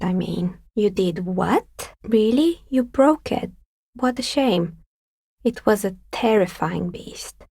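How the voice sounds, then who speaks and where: An actress voicing a dry, sceptical woman dicemaker in a video game, with a soft, breathy, husky voice and a wry, understated delivery. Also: medium pitch, no room sound. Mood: dry, informative, then surprised, regretful. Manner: wry, understated, matter-of-fact. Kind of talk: video game character dialogue.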